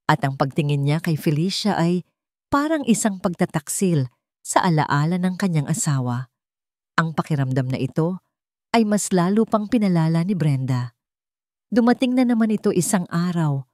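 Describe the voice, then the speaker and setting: A woman storyteller giving expressive, dramatic scripted narration of a love drama. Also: on the low side, no echo, clean studio sound.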